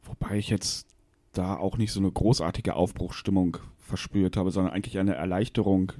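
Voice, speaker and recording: Male co-host from Westphalia, lowish and mellow, matter-of-fact explainer. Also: steady tone, normal speed. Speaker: podcast co-host. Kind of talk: casual chat.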